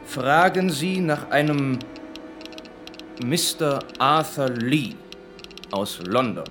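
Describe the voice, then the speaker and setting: An actor in a German radio drama playing a proud, elusive convicted professor who speaks with measured defiance. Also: male voice, mid-range voice, lively intonation, music in the background. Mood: deliberate, formal. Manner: measured, deliberate.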